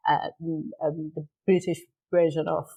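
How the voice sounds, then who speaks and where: A middle-aged woman from Iran, a native Persian speaker, chats as an interview guest. Her voice sits low and sounds dark and mellow. She talks thoughtfully, with many ums, repeats and restarts. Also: little pitch movement, moderate pace, vocal fry, slightly rough, poor audio. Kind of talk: casual interview conversation.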